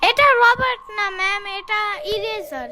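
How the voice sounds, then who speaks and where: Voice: extremely high pitch, animated, moderate pace. A performer voicing a cheeky little cartoon kindergarten boy who talks back, in a childlike way with a Bangladeshi accent. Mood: cheeky, whining. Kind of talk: comic cartoon dialogue. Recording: loud music behind the voice.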